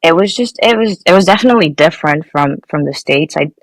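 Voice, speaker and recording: A woman's voice: low-pitched, expressive, quick pace, dry recording. A young woman from Nepal, a guest engineer, answering interview questions casually with many fillers and restarts.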